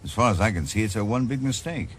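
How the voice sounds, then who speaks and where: A man's voice: on the low side, expressive, fast, a little hiss or hum, close to an everyday voice. A middle-aged man with a Welsh accent, playing a stubborn, sardonic retired detective with a dry, probing manner in a radio drama.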